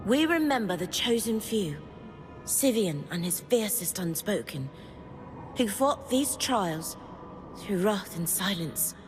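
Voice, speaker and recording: An earnest female historian from a fantasy video game. She speaks slowly and thoughtfully, with grave weight on old words. Her voice rings in a long hall reverb over clear music. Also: medium pitch, very animated. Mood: solemn. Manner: measured, contemplative, reading aloud with gravity. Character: earnest, curious woman historian and outsider studying ancient inscriptions. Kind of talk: video game character dialogue.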